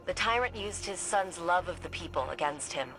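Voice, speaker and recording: Low-pitched, earnest sci-fi heroine, played by a woman, over music. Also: expressive, normal speed, natural voice, rough recording. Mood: solemn storytelling. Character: earnest, thoughtful female sci-fi ally guiding a soldier by comms. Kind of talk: scripted video game dialogue and storytelling. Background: prominent music.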